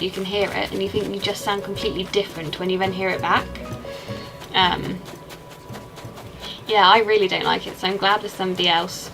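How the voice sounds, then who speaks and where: A young woman with an English accent chats as she streams a game, rambling from one thought to the next and answering her chat. Her voice has a gravelly, fried edge at a middling pitch. Game music plays clearly behind her. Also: poor audio. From England. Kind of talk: casual chat while playing a game.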